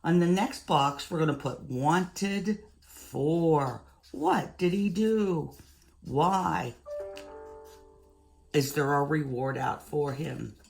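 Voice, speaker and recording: A woman in her fifties with a low voice, speaking as an elementary teacher. She goes slowly and deliberately, laying out each step for young children. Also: creaky, soft background music. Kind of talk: instructional talk to young children. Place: room at home.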